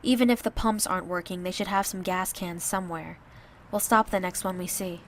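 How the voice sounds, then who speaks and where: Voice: medium pitch, steady tone, brisk, fairly bright tone, slight background noise, close to an everyday voice. An actor playing a practical, watchful driver in an apocalypse audio drama, terse and cautious. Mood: guarded.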